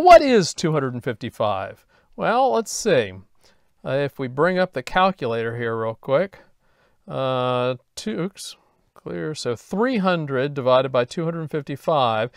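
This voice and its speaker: A man's voice: a little high, dry recording, studio quality. A man in his fifties giving an explanatory lecture in a conversational way, thinking aloud and answering his own questions. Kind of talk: explanatory lecture with worked examples. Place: indoor studio at a glass lightboard. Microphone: lapel mic.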